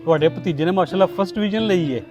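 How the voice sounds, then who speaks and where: Man acting genial neighbour dad, middle-aged, Pakistani Punjabi accent, chatty, raised pitch, faint music underneath. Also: rapid-fire, lightly acted. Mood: delighted. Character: genial neighbour, proud father of successful son. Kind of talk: acted moral-story drama.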